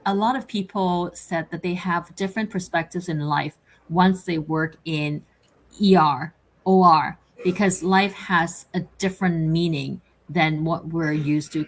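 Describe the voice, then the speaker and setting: A woman's voice: on the low side, measured pace, poor audio. Female host, from Canada, live online chat show; upbeat, emphatic, repeats words.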